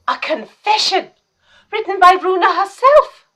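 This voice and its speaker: A woman playing a devoted, earnest assistant to a lady detective in a period mystery radio drama, deferential and expressive. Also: very high-pitched, takes it slowly, full character voice. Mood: shocked.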